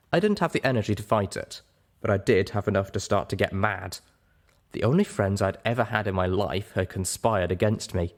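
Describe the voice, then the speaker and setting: A man narrating a story in the first person with an expressive, theatrical, dramatic delivery. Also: on the low side. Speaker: audiobook narrator.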